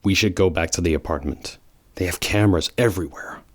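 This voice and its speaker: A man's voice: low-pitched, fairly quick. A man playing a brooding, guarded hedgehog antihero in a fan audio drama, speaking in a grave, terse way. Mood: grim.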